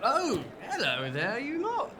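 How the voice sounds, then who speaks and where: An actor playing a kindly, easygoing police officer, talking in a folksy, chatty way. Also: male voice, extremely high pitch, wildly swooping pitch, takes it slowly, heavily acted. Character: kindly bumbling London police officer, adult man. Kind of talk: audio drama acting. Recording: clear background noise.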